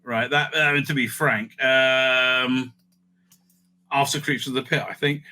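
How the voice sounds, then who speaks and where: A man's voice: mid-range voice, steady tone, unhurried, pushed and bright. A man hosting a casual, off-the-cuff ranking video, rambling and interrupting himself as he thinks aloud. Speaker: video host ranking TV stories.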